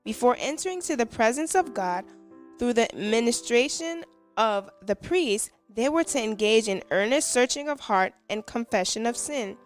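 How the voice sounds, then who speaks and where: A woman reading a scripted devotional in a measured, reverent manner. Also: fairly high pitch, expressive, soft background music. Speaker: devotional reader and hymn singer.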